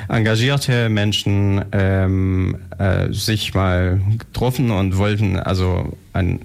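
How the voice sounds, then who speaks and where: A thirty-six-year-old man talks very slowly in a deep, gravelly voice. He halts often, filling the gaps with "äh" and "ähm". The recording is from a radio studio. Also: darkish tone. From Germany. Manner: hesitant, many fillers. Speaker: guest, association board member. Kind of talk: radio interview.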